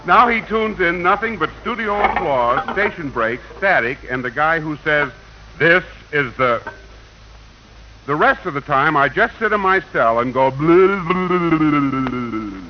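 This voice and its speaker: An actor playing a comic character griping in a 1940s radio comedy style. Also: male voice, on the high side, animated, a little slow. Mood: exasperated griping. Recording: noisy dull 1940 radio broadcast.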